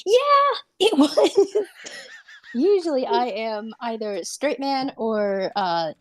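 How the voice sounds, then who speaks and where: A woman's voice: fairly high pitch, big pitch swings, slow. A woman voice actor chatting casually as a panel guest, giggly and good-humoured. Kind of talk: casual chat.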